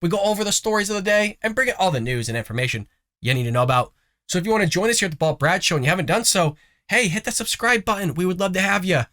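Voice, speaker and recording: A man's voice: sits high, lively intonation, racing, bright, forceful tone. A man hosting a political commentary show, talking casually with folksy, sarcastic asides while congested from a cough. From United States. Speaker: host of a conservative news show.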